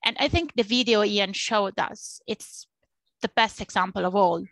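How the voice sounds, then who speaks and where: A woman in her thirties with an Italian accent speaks over a headset from a small home office, earnest and repeating her points. Her voice has a bit of gravel in it, and the sound is dry and close. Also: mid-range voice, moderate pace, fairly bright tone, no echo, poor audio. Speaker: interpreter and Chinese interpreting teacher. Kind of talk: webinar panel talk. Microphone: headset on a video call.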